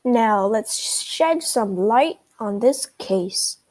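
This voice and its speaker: A woman's voice: fairly high pitch, lively intonation, drawn-out words, a little mellow, full character voice. A woman voicing a confident, inquisitive male duck detective in a children's storybook. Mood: deducing, confident. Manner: inquisitive, explanatory, exclaiming.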